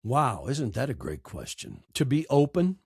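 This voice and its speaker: A man's voice: medium pitch, wildly swooping pitch, measured pace, a little mellow, slightly rough, dry recording, clean studio sound. A man speaking casually and anecdotally as a podcast guest, emphatic and repeating phrases for effect. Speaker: podcast guest, storyteller and narrator. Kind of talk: casual interview conversation, anecdotal.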